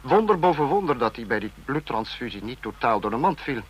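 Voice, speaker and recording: Male supreme leader of a police state, medium pitch, clipped and imperious, old radio drama. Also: very animated, fairly quick, husky, low-quality recording. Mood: coldly reasoning. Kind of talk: old radio play acting.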